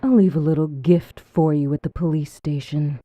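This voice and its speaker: A woman acting a secretive female vigilante in an audio drama. Her voice is fairly low, insistent and defiant. The sound is a little dull, with faint noise behind. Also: steady tone, moderate pace, lightly acted. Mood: cool, defiant.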